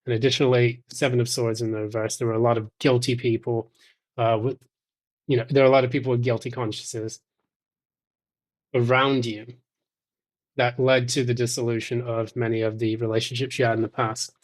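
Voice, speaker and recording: Man, medium pitch, tarot reader, addressing the listener directly, measured, halting, thoughtful pauses. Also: little pitch movement.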